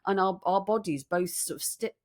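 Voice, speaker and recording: A British woman co-hosting a podcast in casual chat, telling stories in an animated, teasing way. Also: mid-range voice, moderate pace. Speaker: podcast co-host. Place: room in central London.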